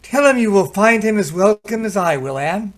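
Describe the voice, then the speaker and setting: An actor playing an ailing but hearty and generous husband of fifty-five in an old-time radio drama. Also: male voice, high-pitched, lively intonation, normal speed. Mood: genial.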